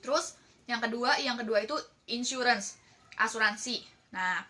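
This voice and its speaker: A woman's voice: a little high, unhurried, pushed and bright. An Indonesian-accented woman presenting as a team leader, explaining casually and chattily while often checking in with listeners.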